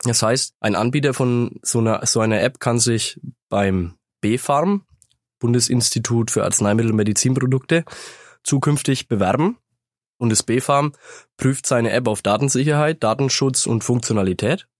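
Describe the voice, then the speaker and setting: A man with a slight cold, explaining things in a measured way as a guest in a business interview, recorded in a studio. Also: mid-range voice, no room sound. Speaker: sales manager, interview guest. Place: company sound studio. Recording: dry studio sound.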